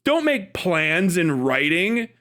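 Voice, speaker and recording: A man with a high voice that swings widely in pitch, delivering off-the-cuff livestream commentary. He sounds exasperated and incredulous, talking bluntly and acting out the arguments he objects to. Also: very animated, slow pace, bright, forceful tone. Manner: exasperated, incredulous, often laughing mid-sentence; sweary, blunt. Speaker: live streamer. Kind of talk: unscripted commentary rant.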